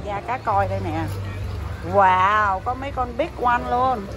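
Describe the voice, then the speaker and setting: A woman's voice: medium pitch, a little slow. A woman, chatting casually on a phone camera as she walks through a market and town streets, pointing things out. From Vietnam. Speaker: vlogger. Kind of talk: casual travel vlog narration. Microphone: phone camera held while walking. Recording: phone audio with loud street noise. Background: loud street traffic and motorbikes.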